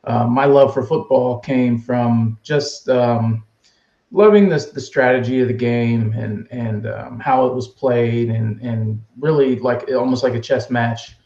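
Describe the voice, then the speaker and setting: A man's voice: mid-range voice, fairly even intonation, a little slow, dark tone. A man as a podcast guest, telling his story in an easygoing way. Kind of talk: casual interview answers. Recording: echoey room, dull lo-fi sound.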